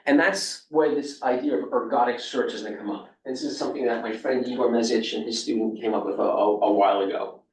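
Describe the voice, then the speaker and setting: A man's voice: medium pitch, fairly even intonation, a little fast. A man from New York with a New York accent, giving a casual academic seminar talk full of fillers and restarts. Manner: loose and conversational, with many fillers and restarts. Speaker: lecturer. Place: seminar room. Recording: echoey room, speaker far from the mic.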